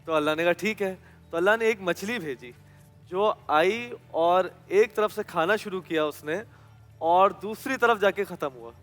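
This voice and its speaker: A man's voice: sits high, measured pace, fairly bright tone, soft background music. A man with a Pakistani accent telling a religious story conversationally, repeating phrases for emphasis.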